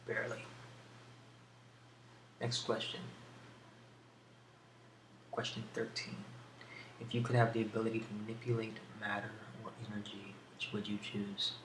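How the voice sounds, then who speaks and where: A soft-spoken man answering questions in a casual ASMR style, with a hesitant, musing delivery and pauses between thoughts. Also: fairly low pitch, level tone. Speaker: ASMR video creator answering a question tag. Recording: roomy echo with faint background hiss.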